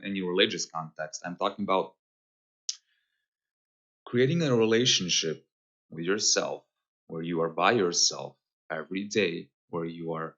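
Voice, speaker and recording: Male, 30, Serbian accent. Bright, low-pitched voice, reflective podcast guest who pauses often. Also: lo-fi sound. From Serbia, Novi Sad. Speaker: podcast guest, holistic guide. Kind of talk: casual conversational interview.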